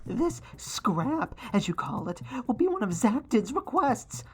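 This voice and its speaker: A woman voicing a wry, scheming villain inventor in an animated fan dub, dry and smug. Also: fairly high pitch, unhurried, crowd noise.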